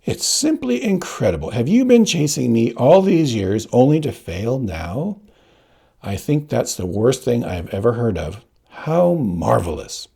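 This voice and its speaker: Male narrator as a mocking villain count, sneering and slow, swooping and gravelly. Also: low voice, wildly swooping pitch, darkish tone, full character voice. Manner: sneering, drawn-out mockery. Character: cruel mocking nobleman, a count.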